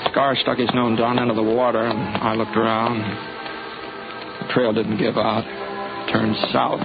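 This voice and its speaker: An actor playing a laconic, steady Old West drifter in a 1950s radio western, with a folksy, understated American delivery. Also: male voice, medium pitch, close to an everyday voice. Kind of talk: 1950s radio western acting and first-person narration. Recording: noisy, muffled 1950s radio recording.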